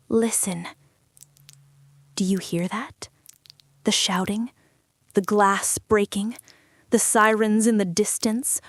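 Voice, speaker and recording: Woman playing a slow, seductive, commanding crime heiress. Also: mid-range voice, darkish tone, no room sound, clean studio sound. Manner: slow, deliberate, intimate, often dropping into whispers. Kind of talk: ASMR roleplay, scripted dramatic monologue.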